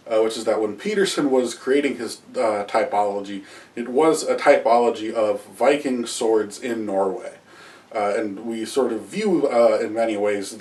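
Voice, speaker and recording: Man, 30s, mid-pitched, hesitant lecture with many uhs, camera a few feet off, home room. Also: darkish tone, far from the mic. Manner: halting, many "uh" fillers and restarts, gestures with both hands. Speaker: history video host. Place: small room at home with wall hangings and shields. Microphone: camera a few feet away.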